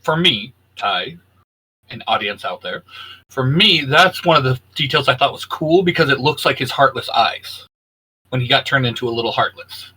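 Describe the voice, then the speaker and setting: A man co-hosting a relaxed geek discussion, giving his opinions in an informal, conversational way. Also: medium pitch, normal speed, slightly rough, low-quality recording. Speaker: co-host of a geek culture show. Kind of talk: casual chat, reviewing video game designs.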